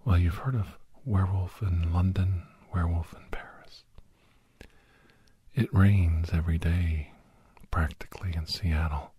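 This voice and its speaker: A man playing a weary, confiding doctor who hunts shapeshifters, telling his story in a hushed, halting, breathy ASMR style. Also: low voice, steady tone, no room sound, exaggerated voice. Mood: hushed, reminiscing. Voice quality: breathy, often whispered.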